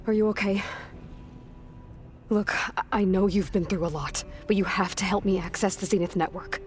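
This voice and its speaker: A woman playing a determined young warrior in a video game, earnest and guarded, often dropping into a hushed, intent delivery. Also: medium pitch, steady tone, normal speed, close to an everyday voice. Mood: concerned, urgent. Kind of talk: video game cutscene acting. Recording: background music.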